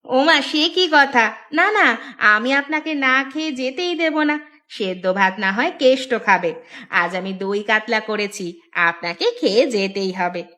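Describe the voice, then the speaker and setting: A woman's voice: fairly high pitch, a little slow, sharp tone. A woman voicing a scheming village housewife in a cartoon, coaxing and insistent. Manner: wheedling, insistent. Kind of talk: cartoon voice acting.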